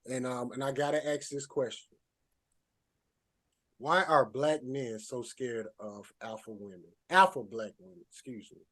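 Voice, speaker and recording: A man's voice: medium pitch, steady tone, unhurried, a bit bright. A man hosting a podcast in a casual interview style, speaking informally with slangy phrasing. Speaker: podcast host.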